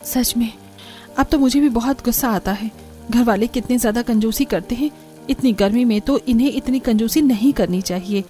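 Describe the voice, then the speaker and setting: A woman's voice: fairly high pitch, moderate pace, warm tone, faint music behind, lightly acted. A woman voicing an honest, exasperated young daughter-in-law in a cartoon story, speaking in an earnest, pleading way. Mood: weary complaint. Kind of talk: cartoon story character dialogue.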